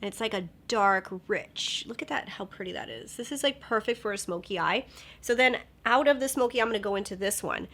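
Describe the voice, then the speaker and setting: A young woman with a slightly nasal voice chatting casually through a makeup tutorial, upbeat and sometimes dropping to a soft whisper. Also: medium pitch, animated, fairly quick. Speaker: beauty vlogger.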